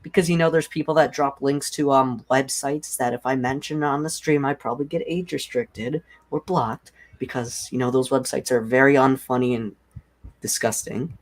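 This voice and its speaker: A young man with a deep voice hosting a casual livestream, rambling and filling nearly every phrase with "like". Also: steady tone, normal speed. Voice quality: self-described deep voice. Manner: rambling, heavy use of "like". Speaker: livestream host.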